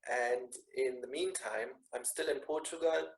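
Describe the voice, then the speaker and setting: A man's voice: mid-range voice, a little slow, gravelly. A middle-aged man with a German accent introduces himself on a video call. His voice bounces around a roomy space. He talks in an easy way, often circling back over a word before moving on. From Bavaria, Germany. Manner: relaxed, repeats words while searching for them. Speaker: meeting participant.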